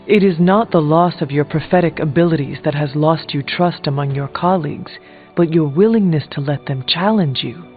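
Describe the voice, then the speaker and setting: Male actor as earnest game warrior, fairly high voice, formal and blunt, on thin phone-like audio. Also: lightly acted. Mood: stern. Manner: measured, formal, direct. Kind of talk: scripted video game dialogue. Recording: phone-like narrow band, music behind.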